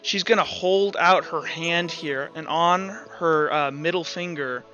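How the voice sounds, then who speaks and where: A man narrating a tabletop roleplay game as game master, telling the story in halting, deliberate phrases. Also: fairly high pitch, bright, forceful tone, highs cut off, soft background music.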